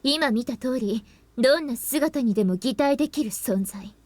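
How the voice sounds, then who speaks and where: A woman's voice: sits high, fast talker, a little mellow, dry recording. A woman playing a serious young sword-wielding shrine girl in a Japanese visual novel. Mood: serious, explaining.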